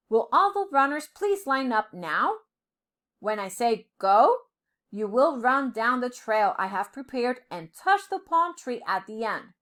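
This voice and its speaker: A woman's voice: fairly high pitch, a bit bright. A woman voicing a proud, stern male parrot who rules the forest, speaking in a commanding, regal storybook manner. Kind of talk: storybook character voice.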